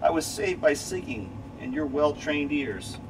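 A man's voice: fairly high pitch, unhurried, voice pushed up. A man voicing a frightened, sentimental cat astronaut from a children's storybook, pleading and dramatic. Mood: grateful. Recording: distant mic, loud steady hum.

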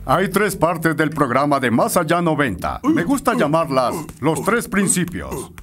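An actor playing a stern, demanding middle-aged soccer coach who speaks in commanding speeches in a cartoon dub. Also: male voice, fairly high pitch, big pitch swings, a little slow, a bit bright. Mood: instructive. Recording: music behind.

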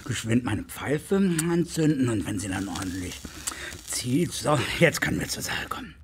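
An actor playing a kindly, secretive, homely talking beaver with a folksy manner in an audio drama. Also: male voice, medium pitch, big pitch swings, normal speed, noisy background, lightly acted. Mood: warm, busy. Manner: conspiratorial, modest, folksy. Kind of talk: German audio drama acting. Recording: music under the voice.

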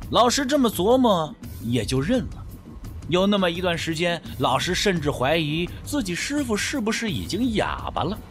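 A man narrating a scripted suspense story as an audiobook, with a storyteller's dramatic phrasing. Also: sits high.

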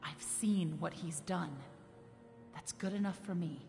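A woman's voice: lowish voice, a little slow, creaky, darkish tone. An actress playing a guarded, level-headed woman warrior in a game cutscene, composed, wry and firm. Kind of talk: video game cutscene acting. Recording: long reverb, faint music behind.

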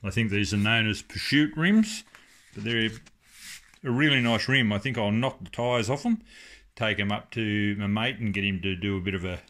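A middle-aged man talking casually through a car restoration in his home garage, thinking aloud with many pauses and ums. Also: fairly low pitch, a bit bright. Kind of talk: casual workshop commentary. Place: home garage workshop, indoors.

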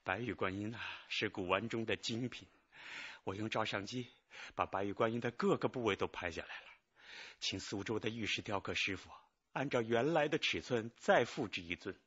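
An actor playing an anxious injured manager, pleading his innocence with halting sighs in a radio drama. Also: lowish voice, fairly bright tone, breathy edge, dull audio. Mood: pleading, explaining. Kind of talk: Chinese radio drama acting.

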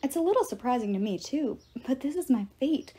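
Woman voicing an earnest, soft-spoken teenage girl oracle. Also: high voice, animated, a little fast, slightly rough, pitched above the performer's own voice. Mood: weak, earnest.

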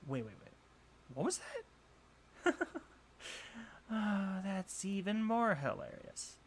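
A man's voice: high voice, big pitch swings, a little hiss or hum, exaggerated voice. A young man playing a jealous, insecure college bully in a roleplay audio drama, scoffing, sighing and defensive. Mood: mocking, incredulous. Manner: scoffing, sighing, swearing, halting when flustered.

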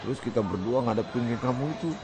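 A man voicing an easygoing young man in a radio play, casual and jokey with a friend. His pitch is middle. Clear background ambience runs underneath. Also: fast, noisy background, close to an everyday voice. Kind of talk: radio drama acting.

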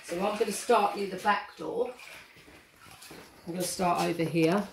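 A woman's voice: lowish voice, moderate pace, vocal fry. A middle-aged woman with an English accent, a teacher patiently explaining a household task step by step, filmed on a phone in her home kitchen and hallway. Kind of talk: instructional home demonstration. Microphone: handheld phone filming. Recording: echoey room, noisy phone audio.

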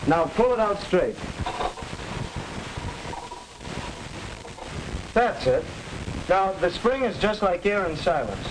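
Young American male patient science explainer, highish pitch, vintage 1940s classroom-film delivery, noisy track. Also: sing-song, moderate pace. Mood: instructive. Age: young adult. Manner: didactic, clearly explaining. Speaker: educational film actor. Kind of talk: 1940s instructional film acting.

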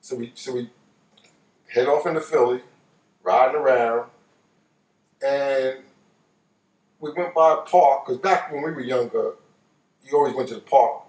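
A man in his late fifties with a New York accent, telling a personal story casually in an animated way, repeating phrases for emphasis. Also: medium pitch, a little slow, long pauses. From New York, United States. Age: late 50s. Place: living room, seated on a couch. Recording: roomy, voice distant from microphone.

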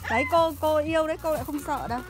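A very high-voiced woman talks casually to her dog, coaxing it as if it were a child. She is recorded outdoors on a phone, with music clearly behind her. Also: very fast. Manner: doting, calls the dog like a child. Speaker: dog owner filming a vlog. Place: outdoors at a campsite.

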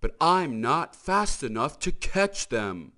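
A man's voice: fairly high pitch, very animated, slow pace, sharp tone, voice pushed up, heavily acted. Man voicing a cartoon police car, male sheriff, firm, emphatic, storybook, caring authority.

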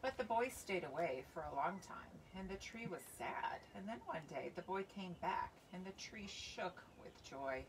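A woman's voice: on the low side, expressive, moderate pace, few pauses. Middle-aged woman, off-mic outdoors. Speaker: children's book author and reader. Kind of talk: storybook reading and casual livestream chat. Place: covered outdoor patio by trees. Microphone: phone or camera a few feet away. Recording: distant mic, clear outdoor background noise.

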